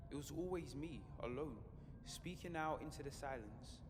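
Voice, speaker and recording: An actor playing a brooding, conscience-stricken rebel radio broadcaster in an audio drama, intense and reflective. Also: male voice, on the high side, normal speed, in a large hall, noisy background, lightly acted. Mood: reflective, subdued. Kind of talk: fiction podcast audio drama acting.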